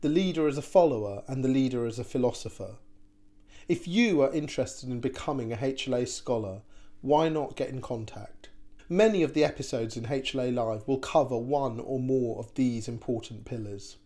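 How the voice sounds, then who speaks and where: A man's voice: medium pitch, moderate pace, dark tone. A man narrating a scripted programme introduction. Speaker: programme announcer.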